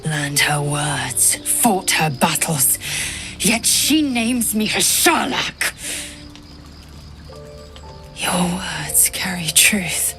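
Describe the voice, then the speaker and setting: A woman's voice: on the low side, expressive, extremely slow, pauses often, sharp tone, soft background music, heavily acted. A woman playing a proud, fierce githyanki warrior in a fantasy game, haughty, blunt and formal in her clipped speech. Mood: bitter, resolute. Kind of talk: dramatic fantasy game acting.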